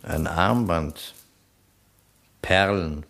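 A man's voice: deep voice, extremely slow, vocal fry, fairly bright tone, heavily acted. A man playing a persistent, matter-of-fact police detective in a German crime radio play, talking in a dry, probing, conversational way. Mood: dry, sceptical.

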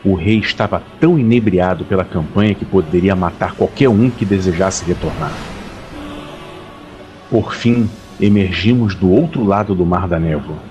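A man playing a grave, weary warrior captain who narrates his expedition in an audio drama, solemn and measured. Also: deep voice, a little mellow, lo-fi sound, natural voice. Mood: grave, ominous. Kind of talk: dramatic first-person scripted narration. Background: faint music.

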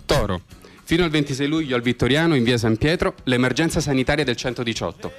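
An actor playing a mock-solemn, pompous military radio announcer who reads scripted satirical bulletins in formal style. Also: male voice, medium pitch, fast talker, soft background music, lightly acted. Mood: brisk bulletin. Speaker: radio presenter.

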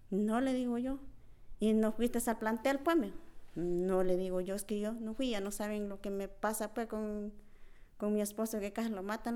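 A woman's voice: mid-range voice, darkish tone. A woman with a rural Honduran accent giving spontaneous testimony as a community leader, retelling conversations in colloquial speech. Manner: colloquial, repetitive, quotes reported dialogue. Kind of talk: spontaneous oral testimony, storytelling.

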